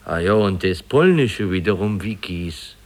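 A simple small-town gatekeeper from an old radio play, speaking low and slowly. He muses hesitantly in a folksy, unsure way. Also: lively intonation. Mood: earnest, musing. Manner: hesitant, folksy, drawn-out musing. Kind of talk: 1950s German radio play acting.

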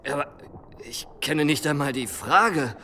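An actor playing an obliging, submissive, money-minded medieval innkeeper in a radio drama. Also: male voice, a little high, lively intonation, measured pace, sharp tone, slight background noise. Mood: obliging, meek.

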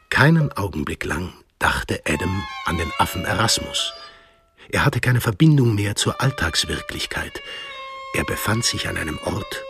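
A man narrating a radio drama in a scripted, literary storytelling style. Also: low voice. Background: music.

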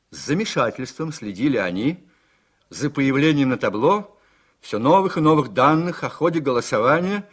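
A man narrating a political radio drama in a measured, literary storytelling manner, as an actor. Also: mid-range voice, slightly rough.

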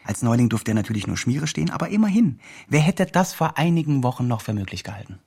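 Casting: earnest activist narrator, medium-pitched voice, declarative first-person radio drama delivery. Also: male voice, big pitch swings, quick pace, creaky, a little mellow, husky, exaggerated voice. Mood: proudly announcing.

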